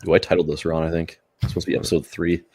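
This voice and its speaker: A man's voice: deep voice, level tone, darkish tone, husky. A man co-hosting a casual sports livestream, joking around and reading out viewer comments. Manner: quick, joking, reads out comments. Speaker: podcast co-host. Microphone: livestream mic.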